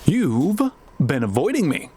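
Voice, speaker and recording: An actor playing a stern, suspicious boss in an audio drama, curt and demanding, with a husky voice. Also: male voice, fairly high pitch, very animated, unhurried, mellow, exaggerated voice. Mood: stern, impatient. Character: stern, suspicious male boss pressuring an underling.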